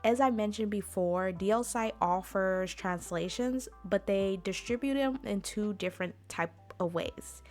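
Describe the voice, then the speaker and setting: A woman's voice: medium pitch, measured pace, few pauses, a little mellow, soft background music. A woman hosting an informal explainer talk in a chatty, conversational way, speaking directly to her listeners. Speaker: convention panel host.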